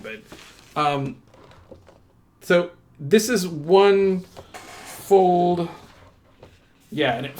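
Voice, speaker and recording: Casual, enthusiastic man chatting through an unboxing. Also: on the high side. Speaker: host of a tabletop game unboxing channel. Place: indoors at a tabletop.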